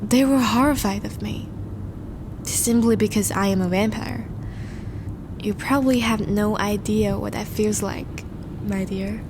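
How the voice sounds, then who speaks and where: Woman acting a slow, courtly, charming vampire. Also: mid-range voice, background noise, natural voice. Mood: tender, wistful. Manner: formal, courtly, gentle phrasing with soft sighs. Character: courtly, charming vampire, tender and devoted suitor. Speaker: audio roleplay voice actor. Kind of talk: scripted romantic audio roleplay, addressed to the listener.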